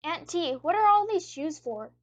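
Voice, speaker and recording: A woman's voice: very high-pitched, big pitch swings, normal speed, muffled recording. A woman playing an eager, stubborn little boy in a radio drama, with an innocent, insistent, childlike manner. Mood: curious.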